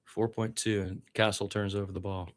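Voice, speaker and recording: A man's voice: fairly low pitch, flat delivery, moderate pace. A man doing live radio-style basketball play-by-play as a partisan fan, with exasperated asides about his team.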